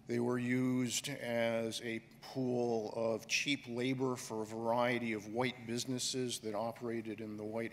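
A middle-aged man giving a keynote speech from a podium on an auditorium stage, speaking deliberately and emphatically with pauses. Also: mid-range voice, a bit bright. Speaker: conference director giving a keynote. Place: large auditorium stage, indoors. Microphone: podium microphone. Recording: reverberant hall with faint room noise.

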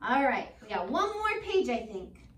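A young woman teacher reads to small children in a high voice that swoops up and down. Her delivery is slow and expressive, with sing-song lines and questions to the listeners. She is recorded at a distance in an echoey classroom. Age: 20s. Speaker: preschool teacher. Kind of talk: animated children's read-aloud, talking to young viewers. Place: indoor school classroom. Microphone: camera a few metres away. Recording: echoey room, distant microphone.

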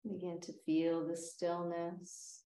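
Female meditation guide: very slow, soothing delivery with long pauses, slightly low pitch, roomy echoing sound. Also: completely flat delivery, mellow. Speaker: yoga nidra meditation teacher.

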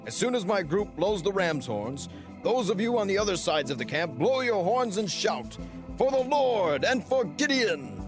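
A man's voice: sits high, sing-song, moderate pace, over music. A man playing a commanding army leader in a dramatized audio Bible, urgent and declamatory as he rallies his warriors.

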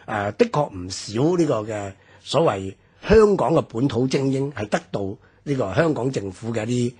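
A man with a Hong Kong Cantonese accent explaining history in a measured radio discussion, with many fillers. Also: medium pitch, lively intonation, darkish tone, breathy edge. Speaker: history commentator. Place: radio studio.

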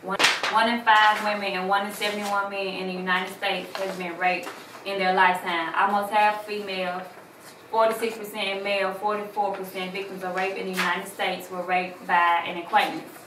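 Actress, medium pitch, scripted fundraising delivery, picked up at a distance. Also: female voice, level tone, moderate pace. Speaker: actress promoting a stage play. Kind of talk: rehearsed fundraising appeal. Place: indoor living room. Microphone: distant camera microphone. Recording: echoey room, distant mic, clear noise.

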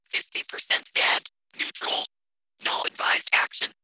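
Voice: unhurried, bright, forceful tone, no room sound. A performer voicing an officious talking judgment machine in a radio drama, with clipped announcements and a whispery synthetic filter. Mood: flat, mechanical. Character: flat, officious talking soul-judging watch machine.

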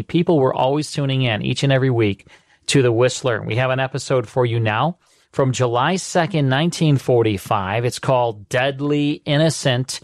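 A man's voice: medium pitch, dry recording, clean studio sound. An American man hosting a radio show in a conversational presenting and promotional style. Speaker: radio host. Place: studio.

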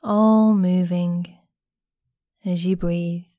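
A woman guiding a meditation in a gentle, soothing, unhurried manner with long pauses between phrases. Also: on the low side, fairly even intonation, no echo. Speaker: guided meditation instructor. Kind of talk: guided body scan meditation narration.

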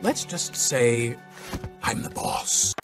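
A man playing a bossy, smug baby businessman in an animated film, talking in a dry, deliberate, self-important way. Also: mid-range voice. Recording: film clip with music behind.

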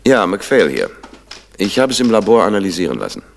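An actor playing a businesslike middle-aged police inspector in a crime radio play, matter-of-fact and authoritative. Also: male voice, sits low, huge pitch swings, fairly quick, creaky, noisy background, full character voice. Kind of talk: 1970s German crime radio play acting.

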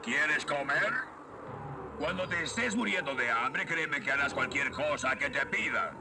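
A man's voice: a little high, expressive, measured pace, noisy background. A man voicing a gloating, imperious skull-faced warlord sorcerer in a Latin American Spanish cartoon dub. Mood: gloating, commanding. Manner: mocking, imperious, theatrical.

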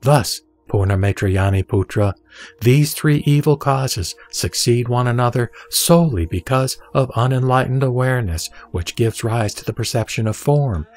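A man reading scripture as narrator of an audio drama, in a solemn, measured and deliberate way. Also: mid-range voice, a little mellow. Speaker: sutra reciter and narrator. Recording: background music under the voice. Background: music.

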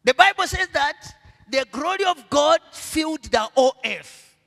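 A middle-aged Zambian pastor preaches in a high, lively voice, asking the congregation to fill in his words. He drops to low murmurs, then rises to loud, stretched-out proclamations. His handheld mic carries a long reverb from the church hall. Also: measured pace, gravelly. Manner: preaching with call-and-response questions to the congregation. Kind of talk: sermon. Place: church stage, indoors.

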